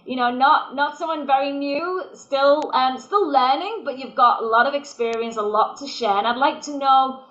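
A woman hosting a video-call interview, with a high, sharp, bright voice. She talks in a friendly, rambling way and repeats words as she goes. The sound is a little dull, like call audio. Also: normal speed, hardly any pauses. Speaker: interview host.

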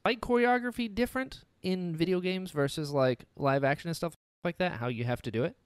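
A man hosting a podcast, enthusiastic and animated, often acting out little quoted exchanges. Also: high voice, fairly quick, clean tone, no room sound, studio quality. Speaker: podcast host. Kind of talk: casual interview chat.

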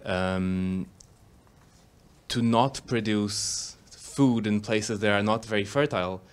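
A man's voice: fairly low pitch, steady tone, takes it slowly, clean tone. A man from Portugal asking a question at a lecture, careful and hesitant in his phrasing. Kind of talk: audience question.

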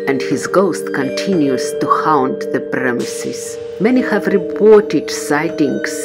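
A woman reading a scripted tour narration in a smooth, even voice-over, with loud music behind her. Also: low-pitched, slow pace.

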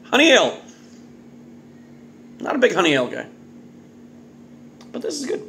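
Animated, high-voiced man reviewing on camera. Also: moderate pace, gravelly, a little hiss or hum. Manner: animated, exclamatory, jokey delivery with muttered asides. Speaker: beer reviewer and vlog host. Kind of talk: casual solo video review, off the cuff. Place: home kitchen indoors. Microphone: phone or webcam at arm's length.

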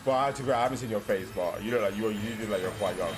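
A young man giving casual, excitable, slangy and sweary reaction commentary. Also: fairly low pitch, racing. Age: young adult. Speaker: reaction video host. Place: indoors, with trailer audio behind.

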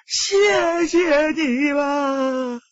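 A man voicing a desperate middle-aged mother who cries and pleads in drawn-out wails. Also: very high-pitched, dry recording, muffled recording, voice pushed up, exaggerated voice. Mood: weeping, broken. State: crying.

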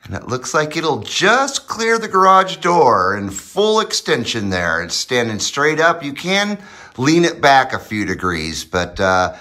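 Man, American accent, lively swooping pitch, crisp forward tone, folksy, informal hobby vlogger. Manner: folksy, lightly swearing, informal. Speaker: host of a hobby radio channel. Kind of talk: casual project vlog narration. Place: home garage, indoors.

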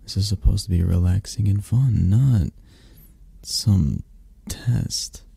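Very deep, mellow, tender dragon boyfriend played by a man. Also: gravelly, breathy edge, no room sound, heavily acted. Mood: playful, teasing. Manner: soft, teasing, reassuring, hesitant pauses. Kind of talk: intimate ASMR roleplay. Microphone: close, dry microphone.

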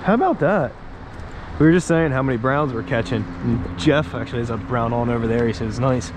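A man's voice: medium pitch, fairly quick, noisy background. A young man fishing outdoors, chatting playfully and teasing his friend with questions. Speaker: fishing vlogger. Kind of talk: casual outdoor chat. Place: outdoors by a creek.

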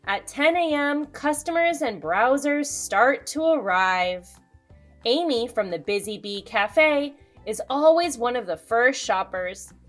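A woman in her thirties, a children's host reading a picture book aloud with expressive storytelling delivery. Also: a little high, slow pace, soft background music. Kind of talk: storybook read-aloud with asides to young viewers.

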